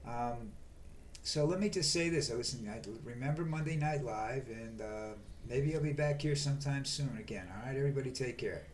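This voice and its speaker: An older man talking on in a slow, monotone ramble, stumbling over restarts and fillers. His pitch sits in an ordinary middle range, and a faint hiss of noise runs under the recording. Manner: halting, many fillers and restarts. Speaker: vlog host. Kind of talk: rambling monologue.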